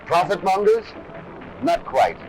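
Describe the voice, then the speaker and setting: Higher-voiced man narrating an old film. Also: wildly swooping pitch, takes it slowly, a little hiss or hum, low-quality recording. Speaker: presenter of an army training film. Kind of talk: scripted documentary narration. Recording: harsh over-modulated old film soundtrack.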